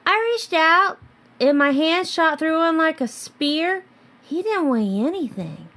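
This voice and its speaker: Woman as wide-eyed schoolgirl heroine, high voice with lively swings, careful and hesitant. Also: takes it slowly, heavily acted. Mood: shaken.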